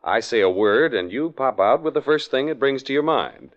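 A male announcer with a smooth, salesy delivery. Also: medium pitch, animated, normal speed. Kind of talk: radio announcing. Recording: muffled 1940s radio broadcast with music.

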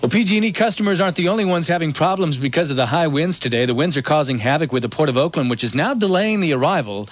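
A man's voice: on the high side, animated, quick pace, hardly any pauses. A man with an American accent anchoring radio news, reading headlines and weather live.

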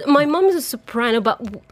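A woman's voice: fairly high pitch, lively intonation, moderate pace. A female soprano singer from Egypt, a guest on a radio show, talking about her background in a friendly, slightly shy way. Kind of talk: casual interview.

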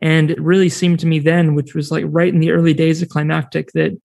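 American man, podcast guest, slightly high voice, rambling and chatty. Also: level tone, fairly quick, vocal fry, darkish tone. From New Zealand and United States. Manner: rambling, many fillers and restarts, laughs easily. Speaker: podcast network founder, guest. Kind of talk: casual interview chat.

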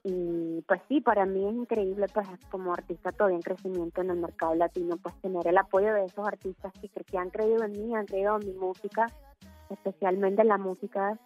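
A woman's voice: mid-range voice, fairly even intonation, brisk, a little mellow, dull audio, faint music behind. A woman from Puerto Rico with a Puerto Rican accent, a singer chatting as a guest in a phone interview, talking with lots of fillers. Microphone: phone line.

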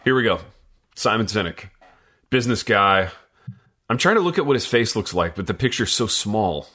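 Male podcast host, lowish and very bright voice, slangy, joking, dry sarcasm. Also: lively intonation, moderate pace. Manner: jokey, slangy, addresses co-hosts as dude and baby. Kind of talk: casual podcast banter.

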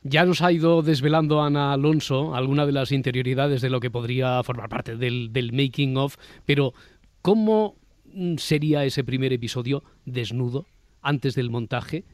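A man's voice: medium pitch, normal speed, dry recording. Castilian guy hosting a chatty roundtable, medium voice, really dry room. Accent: Castilian Spanish. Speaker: host of a discussion podcast. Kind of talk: conversational moderating.

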